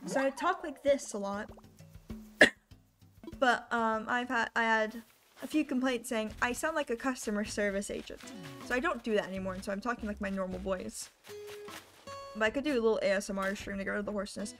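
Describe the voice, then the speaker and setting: A young woman streamer with a rough, hoarse voice, chatting casually and rambling, often poking fun at herself. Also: on the high side, a little fast, fairly bright tone. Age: young adult. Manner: rambling, self-deprecating, chatty. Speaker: live streamer. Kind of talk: casual gaming stream chat. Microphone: desk microphone. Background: music playing.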